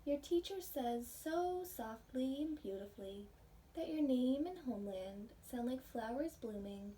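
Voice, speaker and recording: An American woman, a pre-K teacher, reading a picture book aloud and explaining it simply to young children in a gentle, child-directed way. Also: high voice, lively intonation, measured pace. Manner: gentle, child-directed, asks the listeners questions. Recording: distant mic, faint background noise.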